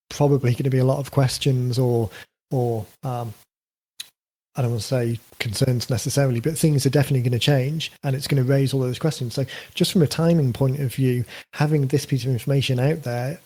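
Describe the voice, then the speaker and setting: A man's voice: mid-range voice, fairly even intonation, fairly quick, warm tone. Man talking through marketing steps. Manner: explanatory, thinks aloud with frequent fillers and restarts. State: voice getting a bit croaky. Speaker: podcast host, book marketing coach. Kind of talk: casual podcast conversation.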